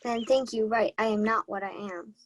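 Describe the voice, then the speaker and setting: A woman's voice: on the high side, fairly even intonation, normal speed, a little hiss or hum, natural voice. A woman playing an earnest young servant in disguise, speaking formally and courteously in a Shakespeare radio play. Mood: earnest, guarded. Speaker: student actor.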